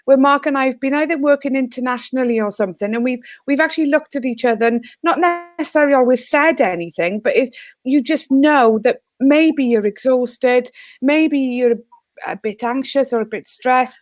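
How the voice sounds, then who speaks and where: A woman's voice: high voice, moderate pace, dry recording. Earnest Welsh woman, dry close sound. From Wales. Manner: earnest, heartfelt. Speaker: teacher and guest speaker. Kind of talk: online panel talk. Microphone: video call.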